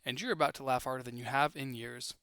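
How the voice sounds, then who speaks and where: A man's voice: mid-range voice, steady tone, quick pace, a bit bright, smooth, dry recording, clean studio sound. A man reading a scripted introduction to an old comedy episode. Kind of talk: scripted promotional narration.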